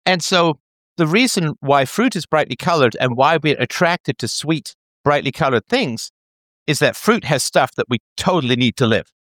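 A man's voice: fairly high pitch, normal speed, dry recording. A man in his thirties in a casual chat, explaining an idea playfully as if talking to a child. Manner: animated, playful, talks as if to a child.

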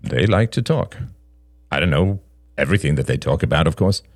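A man voicing a cold, aggrieved father in an audiobook drama, deliberate and contemptuous as he confronts a rival. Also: a bass voice, expressive, dry recording, clean studio sound. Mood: cold, resentful.

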